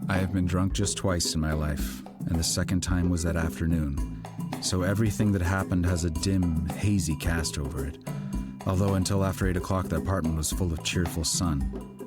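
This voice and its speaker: A man's voice: deep voice, fairly even intonation, normal speed. A man reading literary fiction aloud as an audiobook narrator, in a scripted storytelling style. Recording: music behind the voice. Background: background music.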